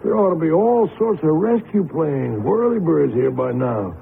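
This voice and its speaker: A man's voice: a little high, sing-song, normal speed, vocal fry. A man playing a cautious, kindly middle-aged American country husband, folksy and hesitant as he reasons things through in a radio drama. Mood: wary, puzzled. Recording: muffled lo-fi radio broadcast with noise.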